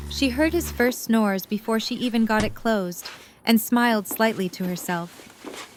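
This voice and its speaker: A woman's voice: medium pitch, slight background noise. A woman reading scripted story narration as the narrator of an audio drama.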